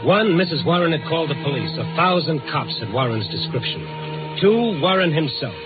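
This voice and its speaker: An actor playing a dogged, compassionate American newspaper reporter in 1950s radio drama, urgent and clipped. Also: male voice, on the high side, lively intonation, brisk, natural voice. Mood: tense narration. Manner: urgent, clipped, hard-boiled narration and dialogue. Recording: tinny vintage radio recording with music.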